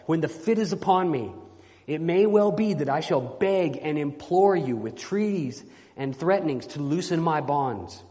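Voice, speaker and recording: A man voicing an anguished, bound knight under enchantment in a storybook reading, pleading forcefully in formal, old-fashioned speech. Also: fairly high pitch, expressive, unhurried. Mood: urgent warning. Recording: long hall reverberation.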